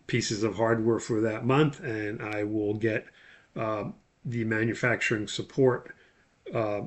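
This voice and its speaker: A man instructor explaining material in a loosely scripted lecture, plain-spoken with opinionated asides. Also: fairly low pitch.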